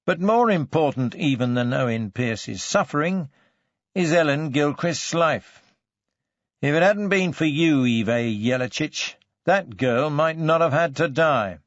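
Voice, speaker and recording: A man acting a slow-spoken, hectoring police detective. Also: on the high side, lively intonation, no echo, dull audio. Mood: stern, deliberate. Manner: deliberate, hectoring, biting. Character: stern, forceful male police detective interrogating a suspect.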